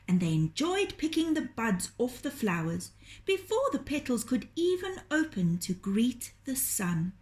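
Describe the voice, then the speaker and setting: A woman reading a children's story aloud in a gentle, deliberate, expressive storytelling manner. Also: mid-range voice. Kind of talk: children's storytelling, scripted narration.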